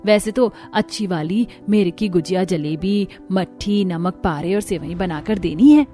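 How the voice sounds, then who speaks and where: Lady doing a worried middle-aged cartoon mom, poor, fretting to herself, lower voice, music behind. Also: unhurried, dark tone, natural voice. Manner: fretful, talking to herself.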